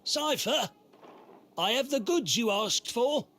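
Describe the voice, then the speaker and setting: An actor playing a haughty, money-minded supplier in a radio drama, raspy and theatrical, drawling with grudging mockery. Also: male voice, very high voice, animated, takes it slowly, background noise, exaggerated voice.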